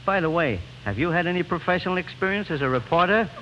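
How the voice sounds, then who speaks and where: A man's voice: high-pitched, lively intonation, quick pace, pushed and bright, slight background noise. A man from Lancashire, England, a guest trading scripted banter on a 1940s radio show. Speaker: newspaper critic, radio guest. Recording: dull 1940s radio broadcast.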